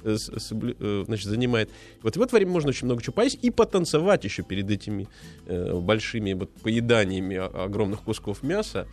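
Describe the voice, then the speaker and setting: A man's voice: on the low side, expressive, fast talker, darkish tone, faint music behind. A man hosting a casual radio interview, chatty and rambling, with many fillers and restarts. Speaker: radio host.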